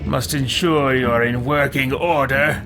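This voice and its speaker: A man's voice: mid-range voice, fairly even intonation, measured pace, background noise, close to an everyday voice. A man voicing a haughty, easily outraged fantasy mage in a video game roleplay, with pompous, formal, theatrical diction. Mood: composed, formal.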